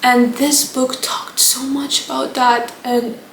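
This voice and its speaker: A woman's voice: high-pitched, level tone, takes it slowly, fairly bright tone, far from the mic, slight background noise. A young woman from Moldova with a Moldovan accent, chatting casually and rambling about books, with frequent restarts. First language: Romanian. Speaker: book vlogger. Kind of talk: casual chat. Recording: echoey room, from off the mic.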